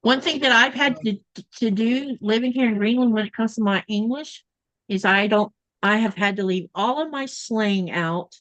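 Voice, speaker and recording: A woman's voice: fairly high pitch, normal speed, a bit bright, dull audio. A woman from Florida co-hosting a casual sewing livestream over a video call, chatting and reading out viewer comments. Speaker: livestream co-host. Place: home in Greenland.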